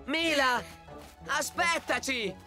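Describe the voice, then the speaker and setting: High-voiced playful tiger cub. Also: female voice, slow pace, over loud music. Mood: curious. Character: playful, eager young boy tiger cub. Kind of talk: animated cartoon dubbing.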